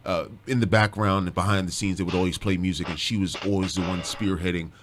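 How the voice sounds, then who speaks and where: A man with a low, deep voice talks as a guest for a music group. His delivery is informal storytelling, recorded with slight background noise. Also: steady tone, fast talker. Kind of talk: casual interview storytelling.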